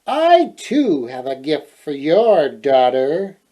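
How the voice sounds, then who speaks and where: A man plays a nasty, powerful storybook fairy woman out for revenge. The voice is loud and swoops high, with slow, dramatic threats. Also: wildly swooping pitch, full character voice. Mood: menacing.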